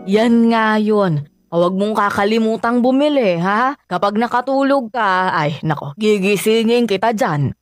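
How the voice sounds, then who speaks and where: A man's voice: high voice, a little slow, no echo. A fussy, worried mother. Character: worried middle-aged Filipino mother, nagging but caring. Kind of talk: radio drama acting. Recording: dry studio with music bed.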